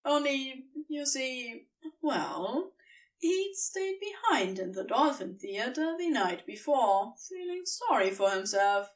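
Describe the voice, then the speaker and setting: A woman narrates a story in a fairly high voice. Her delivery is unhurried and deliberate, with lively swings in pitch and dramatic pauses for effect. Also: recorded from a distance. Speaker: audiobook narrator. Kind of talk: expressive scripted fiction narration.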